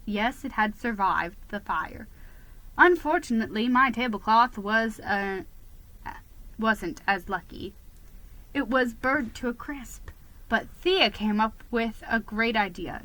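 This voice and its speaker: A woman giving a dramatic, expressive reading of a first-person children's story. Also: a little high, low-quality recording. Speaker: storybook reader.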